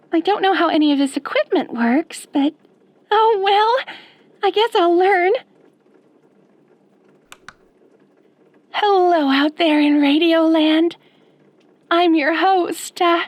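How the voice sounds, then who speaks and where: Very high-pitched girlish killer fangirl. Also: no room sound. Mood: giddy. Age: young adult. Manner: girlish, soft-spoken, pouty. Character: sweetly menacing young obsessed female fan turned killer. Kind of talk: ASMR horror roleplay acting.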